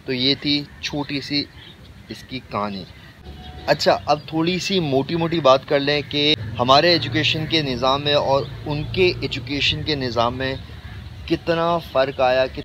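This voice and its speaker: A clearly noisy recording with a rumble behind the voice carries a Pakistani man of medium pitch. He talks to viewers in an informal explainer style. His delivery is halting, with frequent pauses partway through sentences. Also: fairly bright tone. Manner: halting, pausing often mid-sentence. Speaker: video host.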